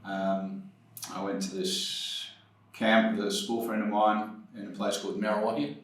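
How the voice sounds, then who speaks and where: A man from New Zealand with a New Zealand accent, telling his story as an interview guest, hesitant with many pauses and restarts. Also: on the low side, flat delivery, heavy reverb, distant mic, rough recording.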